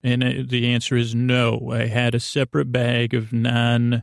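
A man hosting a podcast with a soothing, creaky voice, rambling in a halting, silly, whimsical way as if for bedtime. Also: medium pitch, completely flat delivery, no room sound, studio quality. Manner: meandering, halting, silly and goofy. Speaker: podcast host. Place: podcast studio.

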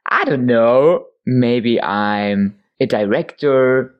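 A man's voice: medium pitch, expressive, extremely slow, muffled recording. Giggly young man. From Germany. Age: young adult. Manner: giggly, restarts words, chatty. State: sleepy, tired voice. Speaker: guest singer. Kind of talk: casual interview chat.

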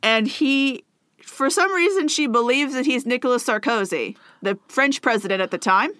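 Bright, crisp-voiced sarcastic woman. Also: fairly high pitch, expressive, moderate pace, no echo, clean studio sound. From United States. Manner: chatty, sarcastic, laughs often. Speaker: podcast co-host. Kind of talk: casual chat.

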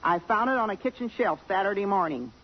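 Feisty maid, husky, blunt and loyal, old dull-sounding radio play. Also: female voice, medium pitch, animated, quick pace. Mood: loyal, defensive. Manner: blunt, defiant, plain-spoken. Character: feisty, fiercely loyal working-class housemaid who distrusts police. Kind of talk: 1950s radio drama acting. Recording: muffled 1950s radio broadcast.

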